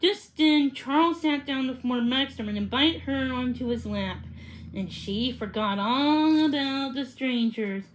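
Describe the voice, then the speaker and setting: A female reader telling a children's story aloud, expressive with dialogue and stumbling over words now and then. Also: high-pitched, a little hiss or hum, rough recording. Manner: halting, stumbles and rereads words.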